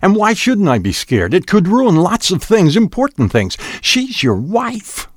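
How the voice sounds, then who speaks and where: A man's voice: high-pitched, unhurried, gravelly, pitched above the performer's own voice. A man voicing an insistent, jealous and frightened woman with a cutting manner in a storybook narration.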